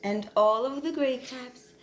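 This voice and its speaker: A woman reading a children's story aloud with expressive, teacherly emphasis. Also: fairly high pitch, unhurried. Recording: roomy echo, faint background noise.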